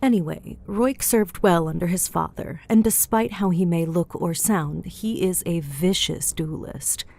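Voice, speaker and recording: Low-voiced, cheeky goliath innkeeper woman acting. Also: a little slow, vocal fry, hardly any pauses, a little mellow. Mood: proud, storytelling. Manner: teasing, chatty, explanatory. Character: cheeky, flirtatious female goliath innkeeper, motherly and talkative. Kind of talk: audiobook character dialogue. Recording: music bed behind the voice.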